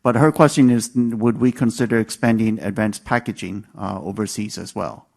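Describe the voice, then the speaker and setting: Male investor relations moderator, lower register, orderly and explanatory as he restates questions. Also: fairly even intonation, moderate pace, creaky, a little mellow.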